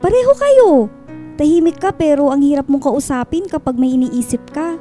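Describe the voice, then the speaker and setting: A woman playing a playful, teasing young student best friend in a radio drama, with a Filipino accent and an expressive, chiding delivery. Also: high voice, normal speed, soft background music.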